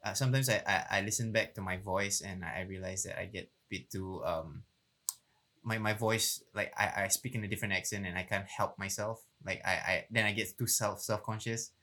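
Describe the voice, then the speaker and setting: Low-voiced Malay guy chatting easily. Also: fairly even intonation, brisk, sharp tone. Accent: Malay English. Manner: easygoing, self-conscious, frequent stutters. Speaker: podcast co-host. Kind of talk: casual chat.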